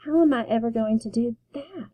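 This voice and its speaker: A woman's voice: on the high side, normal speed, mellow, dry recording, natural voice. Woman doing an eager little superhero girl.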